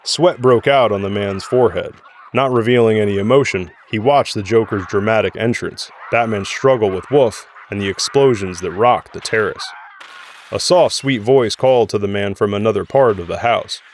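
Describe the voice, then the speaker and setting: A man's voice: on the low side, normal speed, a little hiss or hum. A man narrating a scripted audio drama in the role of storyteller.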